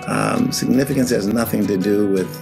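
A middle-aged man from Pittsburgh, a guest executive coach, telling his story in a halting way with fillers and restarts. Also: on the low side, vocal fry, over music. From Pittsburgh, Pennsylvania. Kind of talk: casual spoken interview.

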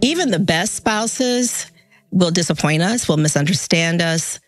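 A woman's voice: fairly low pitch, lively intonation, unhurried, soft background music. A woman guest-hosting a podcast, who introduces the topic and interviews her guests in an encouraging way, laughing along with them. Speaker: guest host.

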